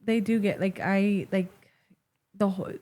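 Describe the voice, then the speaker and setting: A woman's voice: medium pitch, fairly even intonation, a little slow, mellow. A young woman with a Mexican American accent, a podcast guest talking casually in a rambling way, full of "like". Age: young adult. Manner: rambling, heavy use of "like". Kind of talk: casual interview chat. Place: small studio set with wooden tables. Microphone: boom-mounted studio mic.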